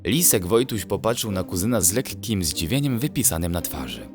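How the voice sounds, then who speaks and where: A man narrating a children's bedtime story in an expressive storytelling manner. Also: sits low, fairly quick, music in the background.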